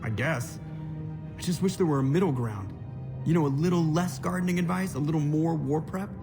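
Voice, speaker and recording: A man's voice: on the high side, brisk, music in the background, natural voice. A man playing a wisecracking, irreverent young starship crewman in a video game, casual and sarcastic. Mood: disgruntled.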